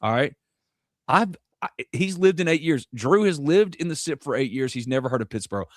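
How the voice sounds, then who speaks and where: A man's voice: a little high, animated, normal speed, no room sound, clean studio sound. A man from North Mississippi, hosting a live sports podcast in a rambling, joking, self-deprecating way. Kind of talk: casual live sports chat.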